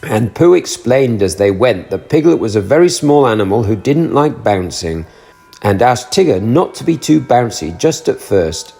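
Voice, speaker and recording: A man reading a children's storybook aloud, narrating in an expressive, animated way. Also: medium pitch, normal speed, gravelly.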